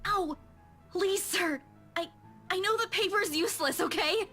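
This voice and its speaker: Visual novel heroine: young woman, very high voice, earnest and distressed, music behind. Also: a little slow, pushed and bright, full character voice. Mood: distressed, timid. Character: young wife and women pleading, distressed, trying to be reasonable.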